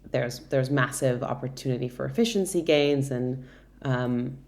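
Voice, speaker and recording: A woman in her thirties with an American accent, originally from Brazil, speaks in a notably deep, low register. She answers interview questions at length, thinking aloud with frequent ums and small restarts. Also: normal speed, darkish tone. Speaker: guest, product director.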